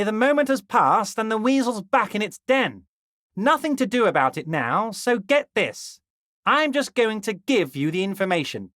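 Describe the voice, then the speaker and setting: A man voicing a scheming, smarmy middle-aged union boss in a video game, ingratiating and slow with oily reassurances that carry a veiled threat. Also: high voice, a bit bright, no echo, clean studio sound. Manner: ingratiating, keeps repeating the listener's name. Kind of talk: recorded game dialogue lines.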